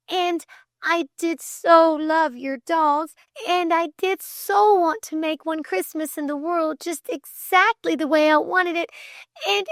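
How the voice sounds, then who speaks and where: A woman's voice: very high voice, vocal fry, bright, forceful tone, dry recording, clean studio sound, voice pushed up, heavily acted. A woman voicing a flustered, indignant and impulsive young girl in a storybook, with breathless, stammering protests.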